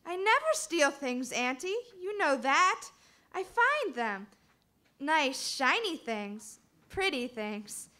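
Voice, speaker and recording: A disturbed college girl in an old-time radio play. She is childish, secretive and stubborn, with a high, piercing voice and theatrical delivery. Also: sing-song, slow, sharp tone, full character voice. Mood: dreamy, evasive. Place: live stage performance at a convention.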